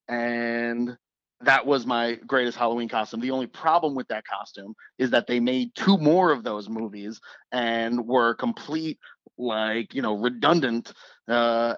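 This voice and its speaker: A man with a slightly raspy voice, a radio producer chatting casually as a guest, relaxed and joking with fillers and restarts. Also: mid-range voice, dry recording, highs cut off. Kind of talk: casual interview chat.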